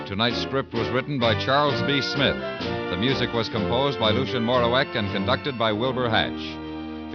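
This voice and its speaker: A man with an American accent reading scripted closing credits as a 1950s radio announcer. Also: lowish voice. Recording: muffled vintage radio recording. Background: orchestral theme music.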